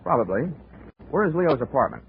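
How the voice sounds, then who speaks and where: A man's voice: a little high, very animated, brisk. An actor playing a wry, hard-boiled male insurance investigator who narrates and wisecracks in clipped 1940s radio style. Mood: sardonic. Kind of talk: 1940s radio acting with first-person narration. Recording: scratchy phone-like 1940s radio broadcast.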